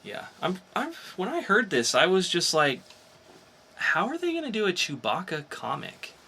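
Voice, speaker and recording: Bright-voiced man chatting as podcast host. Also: high-pitched, wildly swooping pitch, moderate pace, breathy edge. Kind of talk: casual entertainment news chat.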